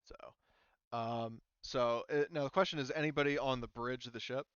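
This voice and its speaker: Smooth-voiced male game master, informal. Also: mid-range voice, fairly even intonation, moderate pace, a bit bright, no echo, highs cut off. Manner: informal, sweary. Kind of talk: running a tabletop role-playing game, casual explanation.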